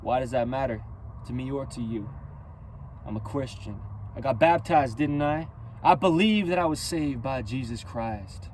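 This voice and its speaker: A man rapping a loose freestyle in rhyme, driving each line with preacher-like emphasis. His voice sits in a middle register and often pushes toward a near-yell. Faint traffic hums outdoors behind him. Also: mid-range voice, normal speed. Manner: rhythmic rhyming, preachy and emphatic; loud, near-yelling delivery. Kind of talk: spoken-word freestyle rap.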